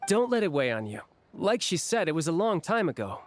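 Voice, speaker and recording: Lively, lighter-voiced easygoing young officer. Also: male voice, high-pitched, brisk. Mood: reassuring, sympathetic. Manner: easygoing, playfully teasing. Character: breezy, friendly young man, reassuring senior officer of an organization. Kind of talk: video game voice acting.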